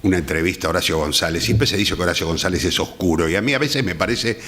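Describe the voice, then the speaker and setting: A man with a Rioplatense Argentine accent speaks as a panel guest in a reflective conversation, choosing his words deliberately. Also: sits low, fairly bright tone. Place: theatre, speaking on stage. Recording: reverberant hall.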